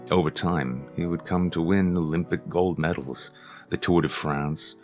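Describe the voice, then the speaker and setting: A man reading his own short story aloud as scripted audiobook narration, in a measured, deliberate storytelling manner. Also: sits low, steady tone, darkish tone, soft background music.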